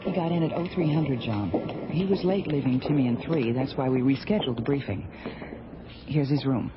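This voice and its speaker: A woman's voice: a bass voice, normal speed, over music. A woman playing a friendly, composed research director on a space station in a radio drama. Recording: dull, noisy vintage radio recording.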